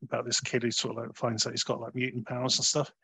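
A man's voice: medium pitch, little pitch movement, fast, gravelly, bright, forceful tone, breathy edge, dry recording. Close, dry-sounding man reviewing films. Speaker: film review podcast host. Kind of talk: conversational film review.

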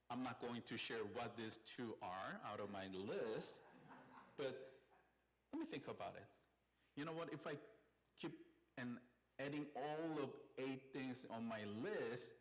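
A man's voice: medium pitch, low-quality recording. Korean-accented male pastor, mid-range voice, conversational sermon delivery. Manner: friendly and conversational, with call-and-response. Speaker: site pastor. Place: church sanctuary.

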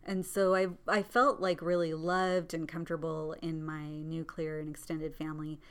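A woman who grew up in Southern California, a podcast guest telling her life story in an earnest, reflective way with frequent small pauses. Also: low-pitched, little pitch movement, clean tone. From Southern California, born in India. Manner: earnest, thoughtful, often pauses with "like" and soft laughs. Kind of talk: reflective personal storytelling in conversation.

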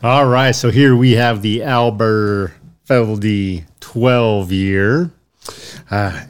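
A man co-hosting a podcast in casual chat, speaking in a measured way with dry joking asides. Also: lowish voice, clean tone. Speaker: podcast co-host.

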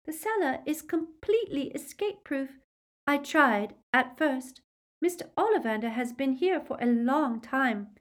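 A woman voicing a calm, airy, resigned teenage girl in an audiobook. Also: high-pitched, a little slow, voice pushed up.

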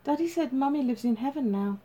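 A woman's voice: sits high, expressive, fairly quick, mellow, voice pushed up. A woman voicing an innocent, trusting six-year-old boy in an audiobook, speaking simply.

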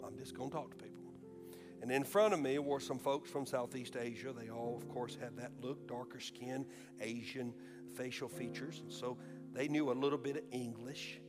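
A pastor from Alabama delivers a sermon in a mid-pitched male voice. He slows down hard to press his points, then speeds up when he tells a story. Instrumental music plays clearly behind him. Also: fairly even intonation. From Alabama, USA. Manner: shifts between slow emphatic preaching and quick storytelling. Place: church sanctuary.

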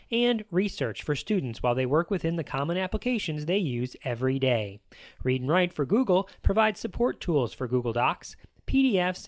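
A man's voice: fairly high pitch, no echo, clean studio sound. An American man narrating a scripted podcast as host, with an emphatic, upbeat presenter delivery.

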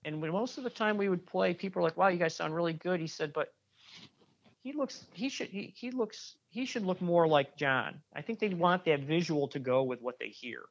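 A man's voice: fairly high pitch, moderate pace, vocal fry, muffled recording. A man talking casually as a guest musician, rambling with frequent restarts and fillers. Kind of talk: casual interview chat.

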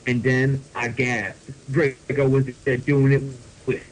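A man's voice: mid-range voice, little pitch movement, slow pace, rough recording. A man hosting a podcast, reading fan fiction aloud comically with mock seriousness and casual asides. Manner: mock-serious delivery, often breaking into laughter. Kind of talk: comedic dramatic reading with asides.

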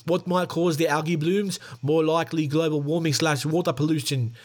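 A man's voice: on the high side, steady tone, moderate pace, close to an everyday voice. A man voicing a young South African actress with a put-on South African accent, quoting her in a mock dramatic way. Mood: mock earnest.

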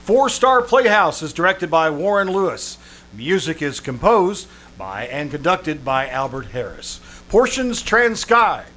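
A man's voice: on the high side, very animated, unhurried, sharp tone, full character voice. A man acting as a formal 1950s network radio announcer, reading scripted credits.

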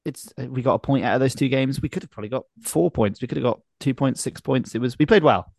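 A man's voice: mid-range voice, fast talker, gravelly, darkish tone, no echo, clean studio sound. A man hosting a football podcast in casual, conversational chat. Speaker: podcast host. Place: video call podcast.

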